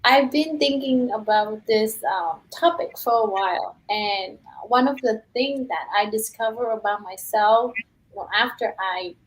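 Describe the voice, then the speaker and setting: A woman shares her thoughts in a casual online chat. Her voice sits a little high. She is reflective and uses frequent fillers. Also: a little slow, a little hiss or hum, poor audio. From Vietnam. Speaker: co-host.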